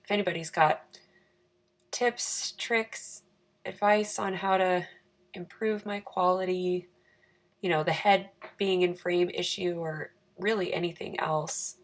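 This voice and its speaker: A woman with a raspy, croaky voice giving a chatty, self-deprecating, casual craft voice-over for her planner channel. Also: fairly low pitch, steady tone, takes it slowly.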